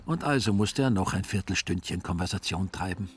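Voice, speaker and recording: A man narrating a literary audio drama in a measured, dryly ironic way. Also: low-pitched, a little hiss or hum.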